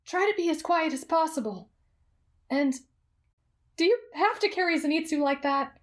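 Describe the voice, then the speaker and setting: Girl playing a patient, polite anime sidekick, high voice, reasons things out gently. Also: moderate pace, natural voice. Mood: coaxing. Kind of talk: anime fan roleplay audio.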